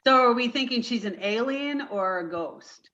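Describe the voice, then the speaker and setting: A woman's voice: a little high, expressive, normal speed, bright, forceful tone. A woman taking part in a casual group conversation as a cast member, on slightly muffled audio. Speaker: cast member in a post-show discussion.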